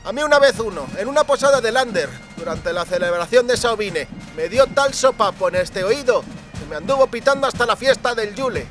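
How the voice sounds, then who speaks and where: Man playing an earnest high-voiced rustic recruit. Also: animated, brisk, over music. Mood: earnest, admiring. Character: plain-spoken male recruit recalling brawls, earnest. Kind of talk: audiobook character acting.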